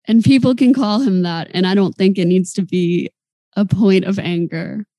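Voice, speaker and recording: Young woman cohosting a podcast, giggly chatty, gravelly low-bright voice, dry sound. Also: normal speed, no echo. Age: 20s. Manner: chatty, giggly, animated storytelling. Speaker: podcast co-host. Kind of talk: casual chat.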